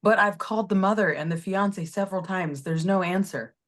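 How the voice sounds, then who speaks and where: A woman's voice: medium pitch, a little fast, natural voice. A woman playing a worried, eager junior police officer in a screenplay table read. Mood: concerned, pleading.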